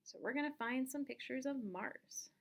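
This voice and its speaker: A woman giving a casual step-by-step software tutorial, thinking aloud as she demonstrates each action. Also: sits high, normal speed, a little hiss or hum. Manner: informal, instructional, thinking aloud while demonstrating. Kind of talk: casual step-by-step screen-recorded lesson.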